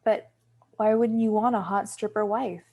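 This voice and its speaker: A woman's voice: mid-range voice, steady tone, moderate pace, dark tone, close to an everyday voice. A woman playing a hesitant, appeasing young wife in a table read, speaking tentatively. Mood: anxious, appeasing.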